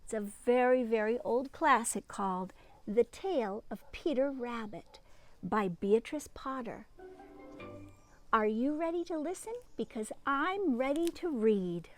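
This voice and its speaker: Animated, high-voiced woman reading to children. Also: unhurried. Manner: expressive, teacherly, addresses young listeners with questions. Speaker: teacher reading a picture book aloud to children. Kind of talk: animated children's story read-aloud with chatty asides. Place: outdoors in a garden.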